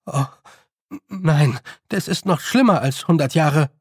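A man performs a naive young boy for an audiobook. He puts on lordly airs but sounds nervous and hesitant, tripping over words. The voice sits fairly high with a husky grain, recorded close and dry. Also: expressive, unhurried, no echo, heavily acted. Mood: alarmed. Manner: hesitant, stammering, slightly haughty. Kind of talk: audiobook character voice.

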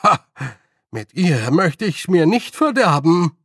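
Man doing a slow, cheerful, stubborn old villager. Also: high-pitched, sing-song, fairly bright tone, no echo, studio quality, voice pushed up, exaggerated voice. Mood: cheerfully defiant. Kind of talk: audiobook character voice.

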